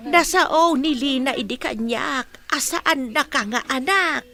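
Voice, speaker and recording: A high, piercingly bright voice of an older village woman, unhurried and chatty. She is deferential, speaking with an Ilocano accent in radio drama style. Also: heavily acted. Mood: revealing, intent.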